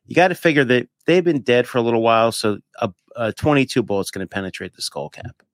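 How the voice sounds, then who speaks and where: Mid-pitched man hosting, very dry sound. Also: fast, no room sound. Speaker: podcast host. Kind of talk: casual chat, posing questions.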